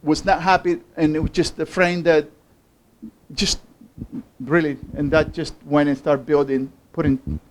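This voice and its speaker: Higher-voiced man with Cuban accent, halting. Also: little pitch movement, slight background noise, rough recording. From Havana, Cuba. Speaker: artist. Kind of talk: informal talk presenting own artworks.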